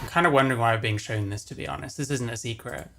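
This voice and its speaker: A man's voice: medium pitch, racing, gravelly, over music. A man giving casual live commentary as a streamer reacting to another stream.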